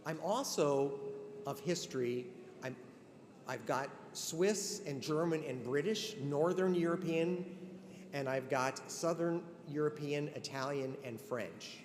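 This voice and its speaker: A man's voice: a little high, slow, a little hiss or hum. An older man giving an earnest, conversational ceremonial address, with many restarts. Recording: long hall reverb, faint ambience.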